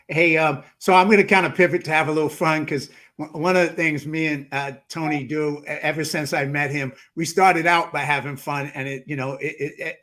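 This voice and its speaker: Playful higher-voiced New man host. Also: fairly bright tone. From New York. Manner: playful, teasing, many restarts. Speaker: podcast host. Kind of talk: casual interview chat.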